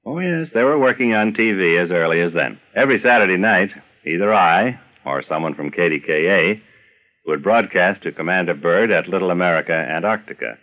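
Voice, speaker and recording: A man's voice: low voice, animated, a little fast, lo-fi sound. An older man narrating a scripted documentary with some personal reminiscence, as a storyteller of past times.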